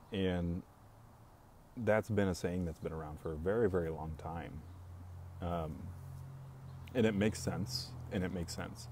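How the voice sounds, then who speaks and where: A young man hosting a casual live stream, talking in a calm, even monotone, slow and reassuring toward his viewers. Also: low-pitched, slight background noise. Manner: speaks slowly and reassuringly to viewers.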